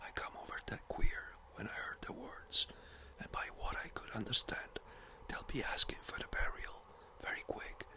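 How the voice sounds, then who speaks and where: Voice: moderate pace, natural voice. A narrator whispering the lines of an agitated, gossiping country housekeeper. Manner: breathless, chattering.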